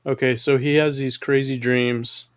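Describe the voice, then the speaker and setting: A man's voice: mid-range voice, little pitch movement, unhurried, no room sound. Male stream host, casual and chatty, reads comments, dry close sound. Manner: chatty, reads viewer comments aloud, laughs often. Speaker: show host. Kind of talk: casual chat on a live stream.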